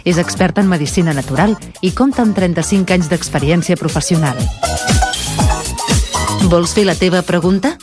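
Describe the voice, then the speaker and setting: A woman's voice: fairly low pitch, normal speed, over music. A woman reading scripted radio show announcements.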